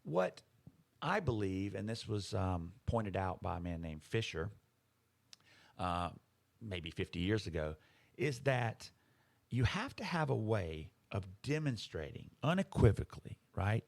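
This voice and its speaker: A man's voice: lowish voice, big pitch swings, measured pace, creaky. A man co-hosting a podcast, explaining ideas in casual conversation, emphatic and fond of rhetorical questions. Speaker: podcast co-host.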